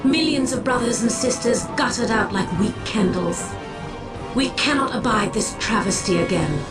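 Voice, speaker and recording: An actress playing a solemn, commanding alien war seer in a video game, speaking gravely and formally with theatrical weight. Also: female voice, fairly high pitch, expressive, moderate pace, music in the background, close to an everyday voice. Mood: grave, defiant. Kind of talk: dramatic video game voice acting.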